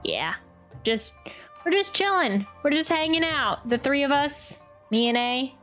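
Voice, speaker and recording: A woman giving casual, playful game commentary in a fairly high voice. She is chatty and unhurried, with game music playing behind her. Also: animated, a bit bright. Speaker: game streamer reacting to a playthrough.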